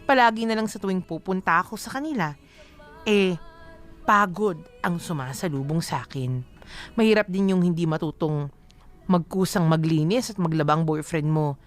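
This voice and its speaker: A woman's voice: on the low side, lightly acted. An actress playing a weary young girlfriend, confiding her own story in Filipino radio drama narration.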